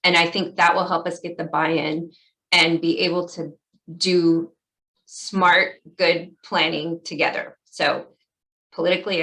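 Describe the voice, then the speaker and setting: A woman's voice: sits low, fairly bright tone, rough recording. A woman speaking informally and candidly as a city official chairing a conference call.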